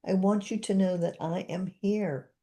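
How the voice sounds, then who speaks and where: A woman's voice: mid-range voice, steady tone, moderate pace, warm tone. A woman in her seventies hosting a podcast, chatting casually and repeating guided phrases after her guest. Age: 70s. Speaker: podcast host and therapist.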